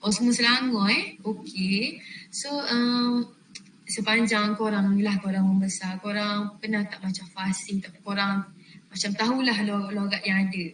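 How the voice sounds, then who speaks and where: A young woman with a Malaysian accent presents a lesson in a relaxed, chatty way, often turning to her listeners. She is heard from a distance in an echoey classroom, with faint room noise behind her. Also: medium pitch, normal speed. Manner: chatty, addresses listeners directly with fillers. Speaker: trainee presenter.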